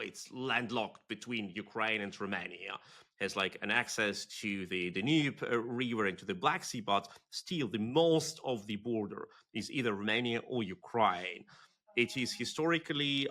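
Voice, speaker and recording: A man's voice: fairly low pitch, pushed and bright. A man hosting an interview, asking questions in a conversational way and thinking aloud. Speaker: interviewer host.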